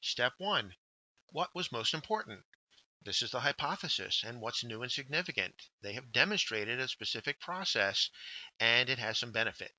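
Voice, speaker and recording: A man narrating a scripted instructional workshop, explaining step by step with deliberate emphasis on key words. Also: medium pitch, big pitch swings, bright, forceful tone, no room sound, low-quality recording. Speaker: workshop instructor, journal editor.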